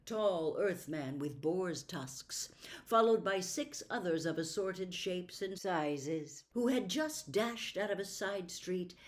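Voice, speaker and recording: A woman reading a classic storybook aloud as scripted narration, in a measured, careful storytelling manner. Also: fairly low pitch, animated. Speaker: audiobook reader.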